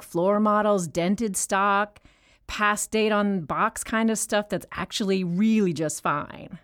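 An actress playing a chatty, rambling woman inmate in an audio drama, telling stories in a wry tone. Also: medium pitch. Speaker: actor in an audio play.

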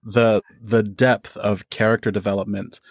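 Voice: mid-range voice, little pitch movement, normal speed, no echo, clean studio sound. A guest of about thirty giving thoughtful, articulate and enthusiastic answers in a conversational interview. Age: around 30. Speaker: interview guest, audio drama podcast creator.

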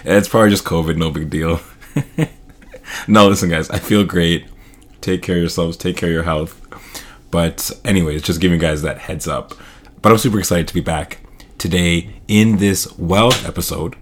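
A man hosting his own podcast in a casual, upbeat solo talk, his voice slightly hoarse. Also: low-pitched, steady tone, moderate pace. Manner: casual, upbeat, loose filler-heavy delivery. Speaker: podcast host.

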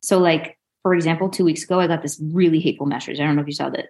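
A woman's voice: sits low, fairly even intonation, rapid-fire, darkish tone. A forty-year-old woman, a coach being interviewed, chatting frankly and informally. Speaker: mindset coach, interview guest. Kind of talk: casual interview chat.

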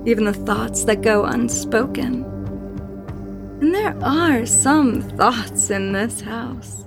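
A woman's voice: fairly high pitch, expressive, slow, vocal fry, full character voice. An actress playing a commanding, taunting spirit woman who haunts a manor in a horror audio drama, with an insinuating manner. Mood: ominous, knowing. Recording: voice over prominent background music.

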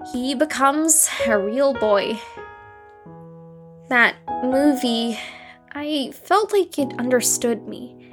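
A woman's voice: high-pitched, a bit bright. A young woman playing a troubled, wistful psychiatric patient in an audio drama, talking in an earnest, wry, confessional way. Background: music.

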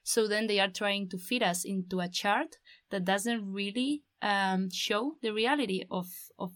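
A woman's voice: medium pitch, unhurried, a bit bright, no echo, studio quality. A young woman from the Basque Country, a design master's student, speaking fluently in long flowing sentences in a casual chat.

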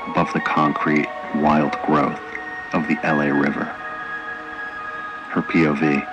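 A man narrating a screenplay as an audio drama in terse, measured phrases. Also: a bass voice, low-quality recording.

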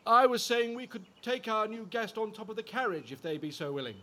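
An actor playing a genial, wry, talkative Georgian husband, henpecked yet cheerful, in a period audio drama. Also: male voice, high voice, animated, fairly quick, sharp tone, a little hiss or hum. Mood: merry.

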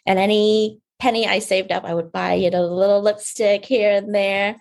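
Young woman from Vietnam, beauty founder; soft, unhurried guest storytelling with small laughs. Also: mid-range voice, flat delivery, gravelly. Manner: soft-spoken, fillers and restarts, light laughs. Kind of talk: casual interview talk.

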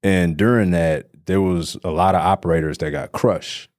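A man's voice: sits low, moderate pace, dry recording, studio quality. A man from Detroit, a real estate investor, talking casually as a podcast guest and often checking in with "right?". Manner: relaxed, conversational, repeats "right?". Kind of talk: casual interview conversation.

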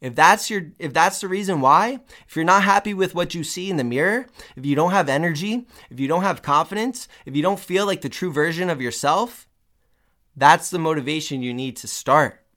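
A man's voice: on the high side, lively intonation, normal speed, fairly bright tone. A man hosting a fitness podcast, talking with emphatic energy and repeating key points, sounding a little nasal while getting over the flu. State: recovering from the flu. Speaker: podcast host. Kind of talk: motivational fitness podcast talk.

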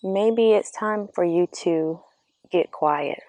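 A woman giving a reflective, earnest motivational talk outdoors, speaking slowly and asking the listener questions, her voice slightly raspy. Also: fairly low pitch, warm tone, no echo. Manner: slow, earnest, coaching, asks the listener questions. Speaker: vlogger giving spiritual encouragement. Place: outdoors among birds. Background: birdsong.

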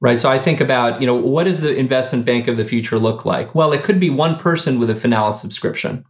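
A man, a company founder, answering interview questions in a halting way with many fillers and restarts. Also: mid-range voice, echoey room, lo-fi sound. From United States.

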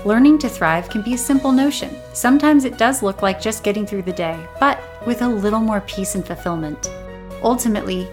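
Earnest, slightly raspy woman podcaster. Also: mid-range voice. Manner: earnest, gentle and encouraging. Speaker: podcast host. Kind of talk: personal self-help podcast monologue.

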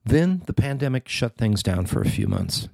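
A man narrating a newspaper feature he wrote, in a measured, even reading. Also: medium pitch, vocal fry, dry recording, clean studio sound. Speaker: newspaper columnist reading his story. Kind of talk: scripted narration.

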